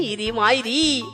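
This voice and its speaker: A woman voicing a hapless, excitable young male fox in a cartoon, scheming and given to melodramatic wails and exclamations. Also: sits high, heavily acted. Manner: melodramatic wailing, catchphrase exclamations. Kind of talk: Bengali cartoon dubbing.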